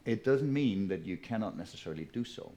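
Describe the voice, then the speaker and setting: Low-voiced man, measured panel speaker. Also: lively intonation, mellow. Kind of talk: panel discussion. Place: conference breakout room.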